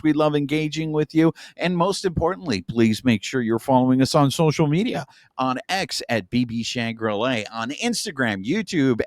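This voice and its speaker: Lively Spanish-native man hosting. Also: medium pitch, no room sound, clean studio sound. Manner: lively, playful hype. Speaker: podcast co-host. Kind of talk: casual chat.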